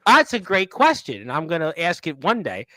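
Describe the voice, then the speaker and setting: A man with a bright, forceful voice set high in pitch hosts a wrestling radio show. The sound is dry and close. He talks casually, reading viewer comments aloud and reacting to them as they come in. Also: wildly swooping pitch, moderate pace, dry recording, poor audio.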